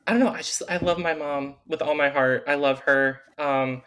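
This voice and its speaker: A man's voice: on the high side, fairly even intonation, normal speed, clear, resonant tone. A man being interviewed as an author, speaking earnestly and fondly in casual chat, with frequent fillers. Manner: earnest, warm-hearted, with fillers like "like" and "um". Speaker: author guest.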